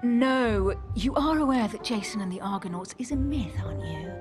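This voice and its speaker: A woman's voice: medium pitch, expressive, normal speed, music in the background, lightly acted. A woman acting a cool, skeptical, learned young aristocrat in a video game cutscene, with a dry, nonchalant, sardonic delivery.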